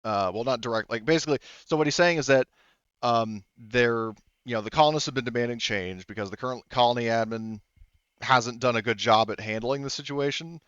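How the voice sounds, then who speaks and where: Male game master, casual halting narration, smooth voice, close dry slightly dull recording. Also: mid-range voice, a bit bright, dry recording. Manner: casual, halting, improvising as he goes. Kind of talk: improvised narration of a tabletop roleplaying game.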